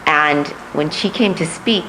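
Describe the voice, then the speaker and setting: A middle-aged woman from Puerto Rico, raised in Missouri, answering interview questions as a political candidate, measured and reflective with frequent pauses. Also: deep voice, background noise.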